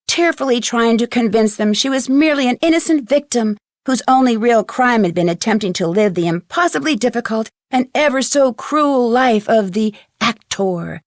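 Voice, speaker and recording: A woman's voice: a little high, expressive, normal speed, no room sound, studio quality, natural voice. A woman with an American accent playing a witty teenage girl detective, telling how a case ended in wry, conversational game narration. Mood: wry, amused. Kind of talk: scripted game epilogue narration.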